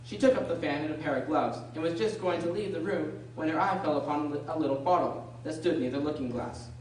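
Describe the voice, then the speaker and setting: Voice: mid-range voice, brisk, natural voice. A narrator reading a scripted story for a radio drama. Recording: echoey room, distant mic, faint noise.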